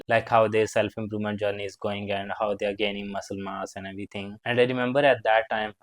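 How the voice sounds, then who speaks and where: A young man in his twenties recounts his own story in a low, dry voice, rambling along with plenty of "like" and small grammar slips. His telling is loose and casual, as if he were talking straight to his viewers. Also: dry recording. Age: 20s. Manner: rambling, filler-heavy, with frequent "like" and non-native grammar slips. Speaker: fitness vlogger.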